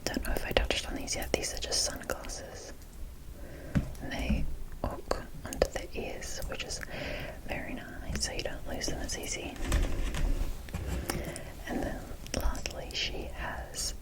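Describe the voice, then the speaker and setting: A woman speaking in a soft, unvoiced whisper, showing items in a casual, rambling ASMR style with pauses between short phrases. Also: low-quality recording. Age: adult. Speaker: ASMR video host. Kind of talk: whispered ASMR, unscripted doll showcase.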